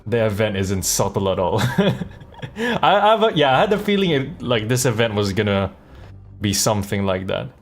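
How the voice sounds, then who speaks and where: Low-voiced man rambling casually on a stream. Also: sing-song, moderate pace, faint music behind. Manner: rambling, amused, repeats words. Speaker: live streamer and voice actor. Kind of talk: casual game livestream commentary.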